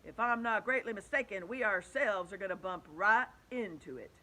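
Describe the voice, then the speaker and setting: A woman voicing a brash, sharp-tongued centipede in a storybook reading. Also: medium pitch, normal speed, lightly acted.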